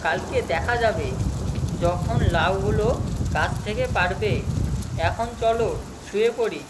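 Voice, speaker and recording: A woman voicing a patient husband in a cartoon story, advising in measured, instructive short phrases. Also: medium pitch, very noisy, close to an everyday voice. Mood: reassuring. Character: husband patiently advising his two rival wives. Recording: music behind the voice.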